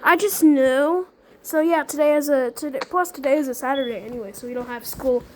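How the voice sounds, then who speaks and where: A performer voicing excitable, bickering schoolkids at play in improvised dialogue, with casual, teasing slang and exclamations. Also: high-pitched, brisk, slight background noise, close to an everyday voice, low-quality recording. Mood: casual, chatty. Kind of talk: playful improvised character dialogue.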